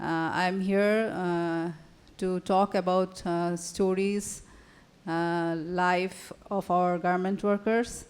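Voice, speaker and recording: A woman with a Bangladeshi accent giving an unscripted public talk, hesitant and formally courteous, pausing between phrases. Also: mid-range voice, darkish tone, clean tone. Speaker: panel speaker, photographer and activist.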